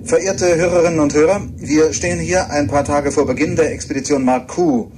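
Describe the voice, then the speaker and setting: A man's voice: on the high side, fairly quick, close to an everyday voice. A man playing a courteous, eager radio reporter on location in a radio drama, speaking slightly formally and chattily. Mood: courteous, presenting. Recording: clear background noise, location ambience.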